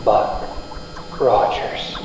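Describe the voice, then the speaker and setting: A male student actor playing an entranced young man whose mind is read aloud, halting, in an old-time radio serial. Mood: dazed. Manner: slow, halting, trance-like. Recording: echoey hall, humming background noise.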